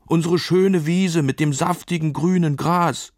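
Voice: mid-range voice, fairly even intonation, a little slow, a little mellow, no room sound, studio quality, voice pushed up, close to an everyday voice. A storyteller voicing placid, timid meadow sheep who care only for grass, bleating and munching as they talk. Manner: bleating, munching with full mouth.

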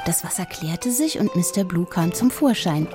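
A woman reading a children's story as an expressive audiobook narrator. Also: fairly low pitch, moderate pace, over music.

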